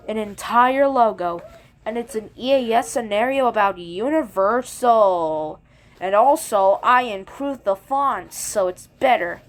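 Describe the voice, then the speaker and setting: A woman hosting her own video, talking casually to viewers in a rambling, hesitant way and often correcting herself. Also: mid-range voice. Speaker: video creator and host. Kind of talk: casual intro and commentary to viewers.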